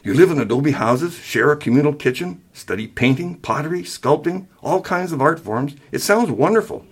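A man reading a story aloud, voicing an older mother who is sweet and dreamy yet sharp-tongued. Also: mid-range voice, animated, rapid-fire, breathy edge, voice pushed up, full character voice. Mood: bubbly. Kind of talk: acted dialogue in a story reading.

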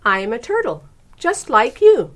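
An earnest young female turtle from a children's storybook, voiced by a woman. The voice is lifted in pitch and speaks up plainly for itself. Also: very animated, normal speed. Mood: polite, earnest. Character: polite, friendly young female purple turtle.

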